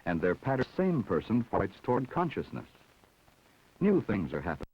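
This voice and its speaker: A man giving measured, scripted narration for an educational film about the brain. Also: on the low side, huge pitch swings, slight background noise. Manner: measured, explanatory. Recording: dull vintage 16mm film soundtrack.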